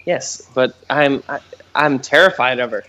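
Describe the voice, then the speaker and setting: Excitable, cursing male co-host. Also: medium pitch, normal speed, lo-fi sound. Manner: excitable, swearing, stammering restarts. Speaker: podcast co-host. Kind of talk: casual chat.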